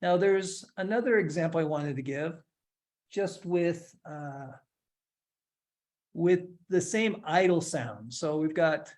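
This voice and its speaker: A man in his forties giving a relaxed, step-by-step software tutorial with light humor. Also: fairly high pitch, slow pace. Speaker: instructor giving a software demo. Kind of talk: screen-share tutorial, casual explanation.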